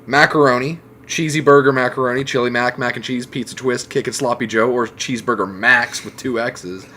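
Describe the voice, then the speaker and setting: A man's voice: medium pitch, steady tone, brisk, sharp tone. A man talking casually as a guest on a group podcast. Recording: faint background noise.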